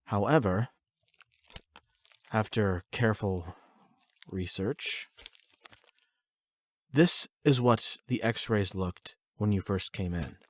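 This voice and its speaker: A man plays a composed trauma-center doctor in an audio roleplay. His voice sits fairly low, with polite, measured explanations and a patient clinical tone. The sound is dry and free of room echo. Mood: clinical, explanatory.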